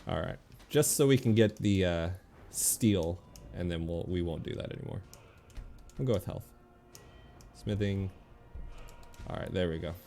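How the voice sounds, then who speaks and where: A man giving casual video game commentary, thinking aloud in a relaxed, muttering way as he makes decisions. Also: low voice, a little slow, long pauses, faint music behind.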